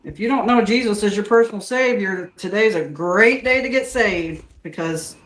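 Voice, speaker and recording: A woman's voice: mid-range voice, moderate pace. Older woman, homey host, earnest chatter that turns preachy, roomy kitchen sound. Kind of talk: casual chat while cooking. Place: home kitchen.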